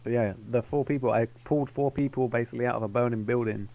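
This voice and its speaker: A man's voice: mid-range voice, fairly even intonation, fast, warm tone, rough recording. Rambling roleplayer, a man who spins a tall tale and keeps fixing his own details. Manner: rambling, self-correcting storyteller. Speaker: roleplay player. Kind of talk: in-character roleplay storytelling. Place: online game voice chat.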